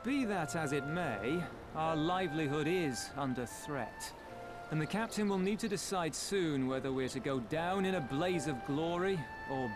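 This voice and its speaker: Sly, higher-voiced pirate officer. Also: male voice, expressive, unhurried, over music. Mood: jaded, dismissive. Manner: mock-polite, wry, eloquent. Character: sly, sardonic male pirate officer, smoothly courteous. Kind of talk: video game voice acting.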